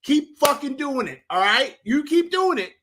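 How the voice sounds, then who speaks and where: A man hosting a casual sports talk stream, giving shout-outs in a loose, profane, rambling way full of restarts. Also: very high-pitched, very animated, moderate pace, slight background noise.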